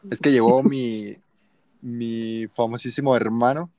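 A man's voice: mid-range voice, fairly even intonation, slow, a little mellow, no room sound. A young man with a Mexican accent chatting casually with friends as a podcast co-host, using plenty of slang. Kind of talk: casual chat among friends.

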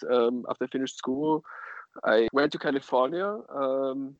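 A man with an Austrian accent and German as his native language talks casually as an interview guest. His phrasing is halting, with many pauses, and his mid-pitched voice comes through a dry, dull lo-fi connection. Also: no echo. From western Austria. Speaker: guest, sommelier and wine bar co-owner.

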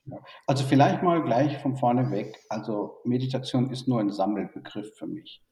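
A man's voice: medium pitch, expressive, normal speed, dark tone, distant mic. A man from Burma, now living in Germany, speaking as an interview guest in a reflective, hesitant way with many fillers. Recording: echoey room, off-mic.

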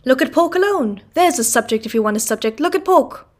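A woman voicing a pompous, loud middle-aged uncle who blusters with self-importance. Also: high-pitched, lively intonation, a little fast.

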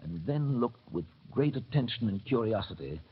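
A grave storyteller narrates a radio drama in measured phrases. His voice is husky and of medium pitch. The recording is old, thin and tinny. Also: animated. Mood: intrigued.

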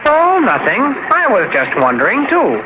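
An actor playing a cheeky, excitable boy sidekick in a 1940s radio serial, full of gee-whiz exclamations. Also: high voice, moderate pace. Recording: noisy old 1940s radio recording.

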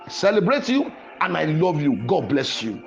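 A man preaching fervently in a Pentecostal prayer style, with forceful, repetitive declarations. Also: on the high side, sing-song, moderate pace, gravelly, faint music behind. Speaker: preacher leading a prayer podcast.

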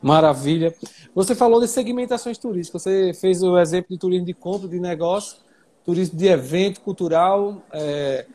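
A man's voice: sits high, moderate pace. A man hosts a live stream, introducing guests and putting questions to them with eager energy. His voice sits well above the usual male range. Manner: enthusiastic, animated introductions. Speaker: livestream host. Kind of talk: live interview hosting.

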